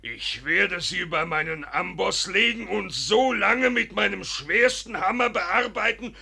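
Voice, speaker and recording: A man's voice: high voice, a little slow, gravelly, crowd noise, exaggerated voice. A man playing a brutal village blacksmith in a comic radio play, blunt and menacing yet servile to his masters. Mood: violent, threatening. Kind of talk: comedy radio play acting.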